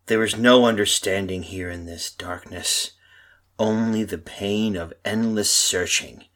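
A man playing a tormented husband in an old-style radio horror drama, theatrical and husky. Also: on the low side, a bit bright. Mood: anguished, brooding. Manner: theatrical, brooding, halting monologue. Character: tormented lost husband, haunted, telling his doom.

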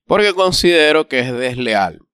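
A man's voice: mid-range voice, very animated, normal speed, a bit bright, smooth, no echo, studio quality. A man speaking as a podcast guest in casual, colloquial Spanish chat, circling back over his points as he gives opinions.

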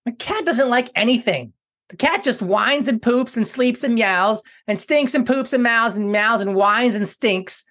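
A woman voicing an excitable, childish young male monkey in a children's cartoon, giddy and whiny. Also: fairly high pitch, measured pace. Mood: cheeky. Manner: giddy, whiny, easily distracted.

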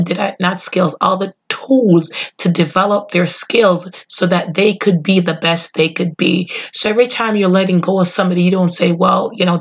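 A woman's voice: lowish voice, slightly rough. A middle-aged woman hosting a motivational solo podcast, earnest and emphatic, slightly nasal as she gets over being sick. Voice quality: a little nasal. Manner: earnest, emphatic, slows on key phrases. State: under the weather, voice not fully recovered. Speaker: podcast host.

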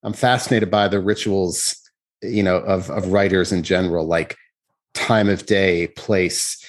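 A man with a fairly low voice conducts a conversational interview. He sounds inquisitive, building up to his questions and rewording them along the way. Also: fairly even intonation, a little slow. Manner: inquisitive, rephrases questions as he goes. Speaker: interviewer, host.

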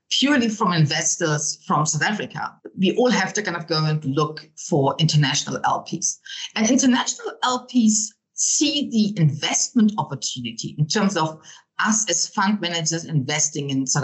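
A woman's voice: on the low side, low-quality recording. A middle-aged woman from Germany with a German accent, answering interview questions as a venture capital partner, often padding with fillers and repeated words.

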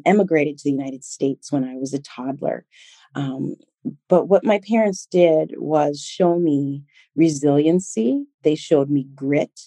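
A woman's voice: low-pitched, warm tone, no echo. A woman in her forties, born in Liberia and raised in Minnesota, telling her life story in an interview with many thoughtful ums. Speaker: teacher and consultant, guest.

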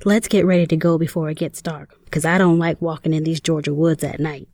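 A woman's voice: deep voice, fairly even intonation, brisk, a little mellow, dry recording, clean studio sound. A woman playing a teasing, observant young sister in an audio drama.

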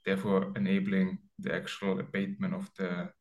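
A man's voice: low-pitched, completely flat delivery, a little fast, a little mellow. A man from Austria, a founder as interview guest, explaining step by step over a remote call with many ums. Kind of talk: casual interview talk.

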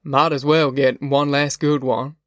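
A man's voice: medium pitch, level tone, a little slow, a bit bright, dry recording, close to an everyday voice. A man voicing a wry, earthy farm father with a blunt, folksy manner in an audiobook. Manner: folksy, dry-humored, blunt.

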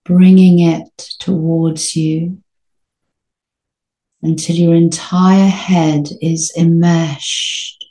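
Very slow, low-voiced woman guiding meditation. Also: flat delivery, reverberant, poor audio. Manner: soothing, gentle, unhurried with long pauses. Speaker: meditation guide. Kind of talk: guided sleep meditation.

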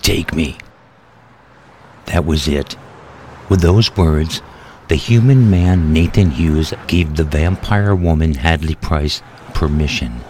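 A man's voice: extremely low pitch, a little mellow, a little hiss or hum. A man reading a scripted story as a dramatic narrator. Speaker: author narrating own story. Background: music.

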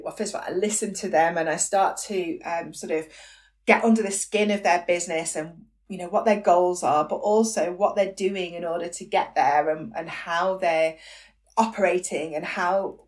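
Croaky-voiced woman hosting a business podcast. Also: lowish voice, far from the mic. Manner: chatty, encouraging, frequent "okay?" and "um". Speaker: podcast host, business coach. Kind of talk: solo podcast monologue.

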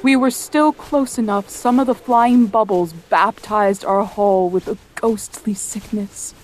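A woman playing a thoughtful sailor who narrates a doomed whaling voyage in measured, literary storytelling. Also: mid-range voice, a little mellow, soft background music, natural voice, poor audio. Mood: grave. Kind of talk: scripted dramatic narration.

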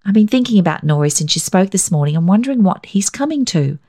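A woman's voice: mid-range voice, lively intonation, fast, warm tone, voice pushed up, natural voice. A woman voicing a frank, affectionate young mother in a storybook reading. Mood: earnest.

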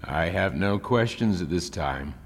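Smug low-voiced senator in an echoing hall. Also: male voice, expressive, unhurried, exaggerated voice. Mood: formal, withholding. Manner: formal, pointed, elaborately polite. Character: smug, probing male senator questioning a witness. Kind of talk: fiction podcast audio drama. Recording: echoey hall with long reverb.

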